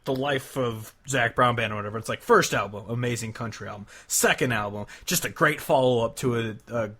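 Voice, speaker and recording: A man with a mid-pitched voice talks casually as a podcast co-host. He is blunt and exasperated, grumbling his way through his opinions and swearing freely. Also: a little fast, sharp tone. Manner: blunt, profane, exasperated complaining. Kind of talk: casual music discussion.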